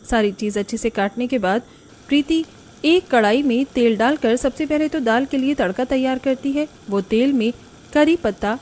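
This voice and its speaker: A woman's voice: high-pitched, a little mellow, a little hiss or hum. A woman reading scripted narration for a cartoon family story.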